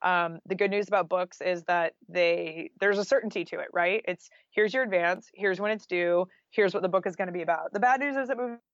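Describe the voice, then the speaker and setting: A woman's voice: fairly low pitch, brisk, few pauses, dry recording, lo-fi sound. A woman talking casually as an interview guest, chatty and anecdotal, with lots of conversational filler. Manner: chatty, anecdotal, with frequent "like" and "you know". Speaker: podcast guest, freelance journalist and author.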